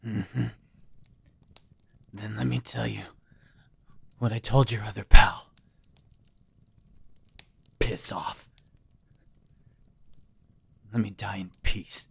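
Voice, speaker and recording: Wounded, defiant laborer, slow and heavily rasping, bitter audio drama role. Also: male voice, on the low side, steady tone, full character voice. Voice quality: raspy, gravelly. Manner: sardonic, labored speech broken by coughs. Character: bitter, dying wounded dockworker, foul-mouthed and defiant.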